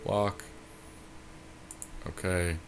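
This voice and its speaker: Low-voiced man talking through a game. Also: little pitch movement, extremely slow, background noise. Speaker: co-host of a card game podcast. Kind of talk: casual game commentary, thinking aloud.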